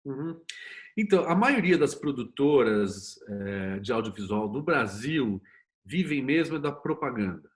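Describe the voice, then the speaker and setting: A man's voice: mid-range voice, very animated, a little slow. A middle-aged man with a Brazilian Portuguese accent, telling his own story candidly as an interview guest. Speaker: interview guest, screenwriter and producer.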